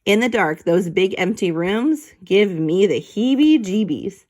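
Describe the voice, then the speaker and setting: A woman reading a children's book aloud as an expressive narrator, in a playful, theatrical way. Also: medium pitch, unhurried. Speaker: storybook reader.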